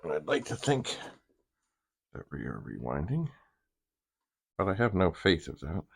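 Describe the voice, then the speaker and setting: Very low-voiced, slow-talking man. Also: wildly swooping pitch, creaky, slightly rough. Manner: halting, muttering asides to himself. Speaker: vintage computer hobbyist host. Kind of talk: casual hands-on demonstration, thinking aloud.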